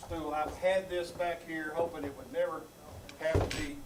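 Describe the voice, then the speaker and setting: A man's voice: a little high, level tone, normal speed, heavy background noise. A man leading a troupe's live stage banter, exasperated and stammering through repeated words. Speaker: troupe leader emceeing a live show. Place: theater stage before a live audience. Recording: live stage recording with loud audience. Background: audience laughter.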